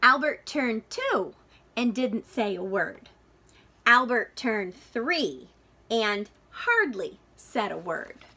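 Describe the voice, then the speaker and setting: Animated, bright-voiced woman reading a storybook. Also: fairly high pitch, breathy edge. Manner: deliberate, dramatic phrasing for young listeners. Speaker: teacher reading a picture book aloud. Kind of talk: expressive children's storybook read-aloud.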